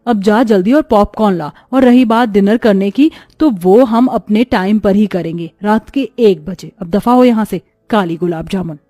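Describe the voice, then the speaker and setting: A woman's voice: medium pitch, normal speed, few pauses, warm tone, no room sound, clean studio sound, close to an everyday voice. A woman playing a spoiled, arrogant young stepsister in a moral story, taunting and bossy. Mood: bossy, cruel. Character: spoiled, arrogant, mocking young stepsisters bullying their sister. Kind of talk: animated Hindi moral story acting.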